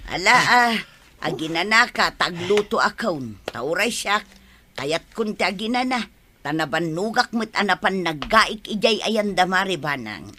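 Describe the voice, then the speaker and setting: An actress playing an eager working village housewife with an Ilocano accent in a comedy radio drama. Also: female voice, medium pitch, animated, measured pace, bright, forceful tone, slight background noise. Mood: nagging.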